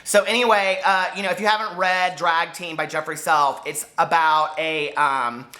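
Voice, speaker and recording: A man in his forties chatting casually and excitably to viewers as a book vlogger, gushing and a little campy. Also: a little high, moderate pace. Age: 40s. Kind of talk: casual chat to viewers, book tag video.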